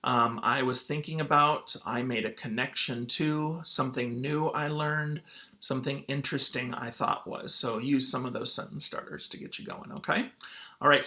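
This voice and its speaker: A man's voice: fairly high pitch, normal speed, bright, forceful tone. A man speaking as a teacher to his students, in an informal read-aloud lesson style.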